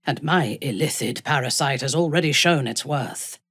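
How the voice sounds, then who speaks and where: An actress playing a ruthless, haughty dark elf warrior in a video game, cold, commanding and menacing in her measured delivery. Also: a bass voice, breathy edge, no room sound, exaggerated voice. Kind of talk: video game character voice acting.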